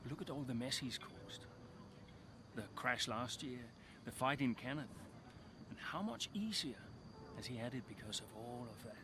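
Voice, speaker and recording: An actor playing an older, weary but earnest racing driver in a video game cutscene, speaking in a low-key, sincere way. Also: male voice, animated, normal speed, background noise, natural voice. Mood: bitter regret.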